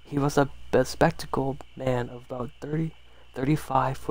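A man's voice: medium pitch, a little hiss or hum, rough recording. A man reading a horror story aloud as an ASMR storyteller, sometimes stumbling and rereading phrases.

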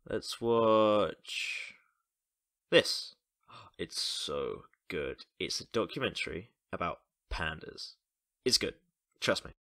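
A man's voice: low-pitched, lively intonation, slow pace, fairly bright tone, heavily acted. An actor playing an easygoing, loyal young guy in casual, naturalistic audio drama dialogue. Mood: laid-back.

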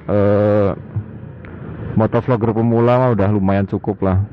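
A man with a fairly low voice talks off the cuff as a motovlogger. He is casual and a bit halting, riding outdoors. The sound is dull and band-limited, with clear road and traffic noise. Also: steady tone. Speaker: motovlogger, host. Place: outdoors on a moving motorbike at night. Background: traffic and vehicle noise.

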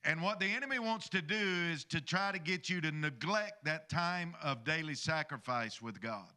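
A man's voice: on the high side, lively intonation, normal speed, sharp tone. A middle-aged man preaching a sermon as pastor from a church stage into a handheld microphone, calling on the congregation for amens. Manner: preaching with call-and-response asides to the congregation.

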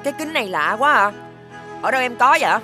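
Bright, bossy demon sibling. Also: female voice, fairly high pitch, expressive, quick pace, faint music behind. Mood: curious. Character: bossy older-sibling demon devoted to being wicked. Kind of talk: animated fairy-tale dubbing.

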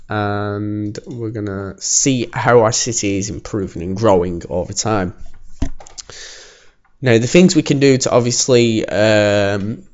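A man with a croaky voice, casually commenting as he builds a city in a game, alone at home. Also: mid-range voice, a little mellow, lo-fi sound. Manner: chatty, self-assured, talks while building. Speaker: gaming channel host. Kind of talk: casual let's-play commentary.

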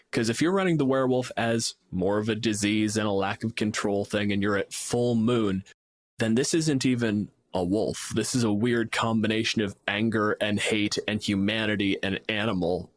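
A man in a casual tabletop gaming discussion as co-host, reasoning aloud and acting out short examples. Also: fairly low pitch. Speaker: podcast co-host and game master.

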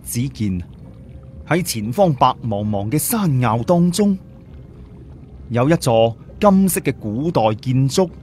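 Male audiobook narrator, dramatic and animated delivery, faint background noise. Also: mid-range voice. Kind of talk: dramatic scripted story narration.

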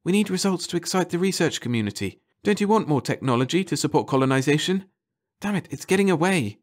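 A man's voice: fairly high pitch, expressive, quick pace, voice pushed up, lightly acted. A man voicing an eager, earnest female scientist, an aquatic creature, in an audiobook. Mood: urgent, pleading. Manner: earnest, wonder-struck, pleading. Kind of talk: audiobook character voice.

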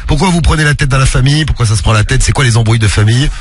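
A man's voice: medium pitch, rapid-fire, husky, dry recording. A man hosting a late-night call-in radio show, chatting and teasing callers in a jokey way. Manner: jokey, teasing, puts on a mock Quebec accent. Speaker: radio host.

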